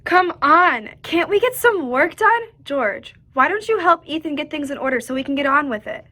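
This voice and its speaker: Woman as a bright, impatient high-voiced actress. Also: expressive, moderate pace. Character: impatient, grumbling supporting actress. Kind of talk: 1940s radio comedy play acting.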